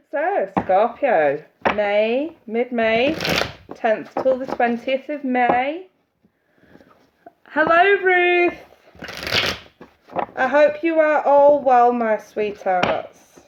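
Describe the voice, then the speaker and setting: A woman's voice: high voice, very slow, pauses often. A woman reading tarot on a live stream, chatty and congested from hay fever, greeting viewers by name. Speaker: tarot reader. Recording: muffled audio with steady hiss.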